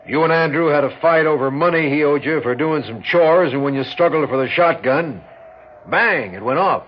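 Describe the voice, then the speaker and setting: An actor playing a middle-aged small-town sheriff in a 1960s radio drama, blustery and folksy, with a rural American accent. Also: male voice, a little high, moderate pace, a little hiss or hum, close to an everyday voice. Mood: firm, reasoning. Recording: dull 1960s radio recording.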